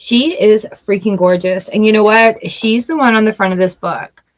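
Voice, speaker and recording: A woman's voice: medium pitch, moderate pace. Chatty woman reading tarot cards. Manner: chatty, reads card text aloud with playful asides. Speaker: tarot reader. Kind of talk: casual tarot reading chat.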